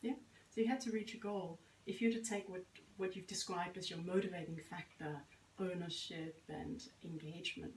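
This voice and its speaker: Eine Frau, die als Sprachcoach ein Gespräch führt, mit eher tiefer Stimme, etwas entfernt vom Mikrofon. Sie fasst Antworten zusammen und ermutigt mit vielen kurzen Bestätigungen, und der Raum hallt. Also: female voice, fairly low pitch, echoey room, distant mic, a little hiss or hum, poor audio. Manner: encouraging, summarizing back with many affirmations. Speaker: language coach interviewer. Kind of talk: coaching interview.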